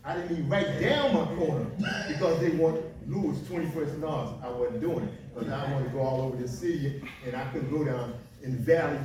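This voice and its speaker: A seventy-year-old man tells stories and jokes on a panel, laughing as he goes. His voice sits a little high and is picked up from a distance in a big echoing library hall, with an audience murmuring around him. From Philadelphia. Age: 70s. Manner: joking, reminiscing, laughs often. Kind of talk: panel storytelling. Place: large library event room. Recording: echoey hall with audience, recorded from afar.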